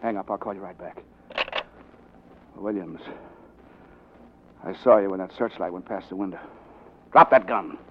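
An actor playing a brash, wisecracking, quick-witted newspaper reporter, urgent and evasive, in 1940s radio drama style. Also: male voice, deep voice, slightly rough. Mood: tense. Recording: dull, noisy 1940s radio recording.

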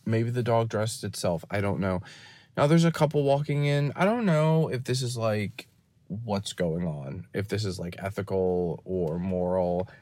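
A man giving a rambling, deadpan and self-mocking running commentary from a parked car, recorded on his phone. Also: fairly low pitch, measured pace, no room sound. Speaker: podcast host. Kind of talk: rambling off-the-cuff monologue. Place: parked car in a store parking lot.

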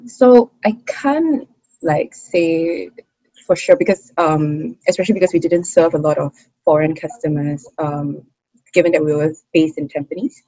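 A young woman from Singapore with a Singaporean English accent, chatting expressively as an interview guest, with frequent fillers and quick laughs. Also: deep voice, dark tone, no echo, dull audio. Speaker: interview guest, hawker's daughter. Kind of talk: casual interview conversation.

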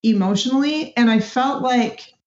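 A woman's voice: on the high side, normal speed, darkish tone. A woman talking casually and candidly as a podcast guest, reflecting in an introspective way. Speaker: podcast guest, audio drama actor. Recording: dull, roomy, echoey audio.

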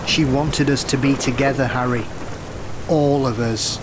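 An actor playing a guilt-ridden brother who sounds exasperated and remorseful. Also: male voice, medium pitch, fairly even intonation, measured pace, lightly acted. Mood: hushed. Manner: exasperated, then hushed and remorseful. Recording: noisy storm backdrop.

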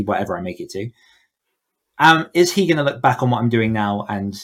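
A man chatting casually as a podcast guest, explaining his thoughts in a reflective way. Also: fairly low pitch. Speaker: podcast guest, author coach. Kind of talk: casual interview chat.

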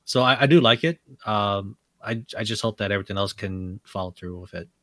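A man with a low voice speaks in casual podcast chat, asking pointed questions in a skeptical way. The sound is dry and close. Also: brisk, pushed and bright, no room sound. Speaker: podcast co-host.

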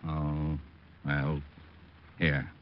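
An actor playing an exasperated, skeptical American police lieutenant in a 1950s radio detective drama. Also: male voice, extremely low pitch, completely flat delivery, drawn-out words, full character voice. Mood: skeptical, puzzled. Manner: blustery, skeptical, impatient. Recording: muffled old radio recording.

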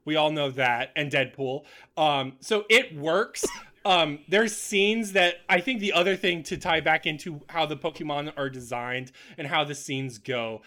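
Bright-voiced, higher-pitched opinionated man. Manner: opinionated, swears casually. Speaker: podcast panelist. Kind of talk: casual movie discussion.

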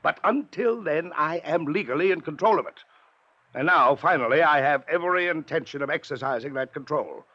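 An actor playing a pompous, domineering wealthy older uncle in a 1950s radio drama. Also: male voice, a little high, expressive, a little fast, breathy edge. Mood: stern, angry. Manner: pompous, clipped, rises to angry lecturing. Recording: dull lo-fi 1950s radio broadcast.

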